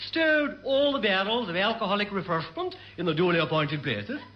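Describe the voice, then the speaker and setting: Very high-voiced, pompous genial padre. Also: male voice, very animated, moderate pace. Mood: jovial. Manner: flowery, hesitant, chuckling, genteel. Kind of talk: classic British radio sitcom acting. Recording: noisy, dull old radio recording.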